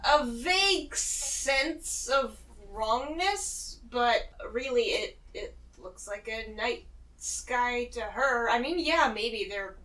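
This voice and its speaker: A woman's voice: on the high side, animated, slow pace, bright, forceful tone, distant mic, low-quality recording. A woman playing a tabletop role-playing game, chatting and improvising casually.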